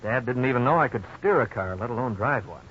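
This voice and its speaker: Earnest folksy man telling his own past, acted: mid-pitched, very animated, vintage radio narration. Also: fast talker, close to an everyday voice. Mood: reflective, explanatory. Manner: folksy, expressive storytelling. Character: grown-up Vic, earnest man recalling his youthful blunder. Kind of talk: 1950s radio drama first-person narration. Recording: dull, noisy old radio recording.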